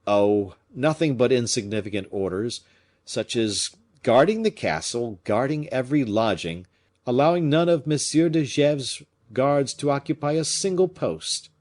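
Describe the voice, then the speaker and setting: A man playing a shrewd, lively musketeer captain. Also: mid-range voice, voice pushed up, lightly acted. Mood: feigned casualness. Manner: measured, pointed, formally polite. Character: shrewd, courteous musketeer captain hinting slyly, male. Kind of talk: audiobook character acting.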